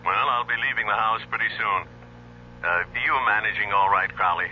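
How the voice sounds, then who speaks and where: An actor playing a casual, unhurried male doctor heard through a telephone in a radio drama. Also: lowish voice. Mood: casual, reassuring. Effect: telephone filter. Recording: dull telephone voice, faint noise.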